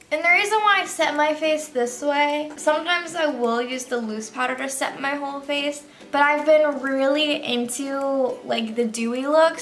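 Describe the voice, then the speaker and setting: A young woman with a high voice talks through her makeup routine, chatty and loose and full of fillers. She still sounds sleepy, as if she just woke up, and faint music plays softly behind her. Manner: chatty, loose, heavy use of "like". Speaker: beauty vlogger. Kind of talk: casual makeup tutorial.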